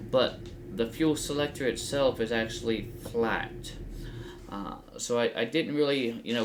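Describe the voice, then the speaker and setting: Halting middle-aged man, casual explainer. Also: mid-range voice. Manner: halting, thinking aloud. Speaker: host of a DIY flight simulator build channel. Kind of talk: casual hands-on build explanation. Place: small home workshop room, indoors. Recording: faint background room noise.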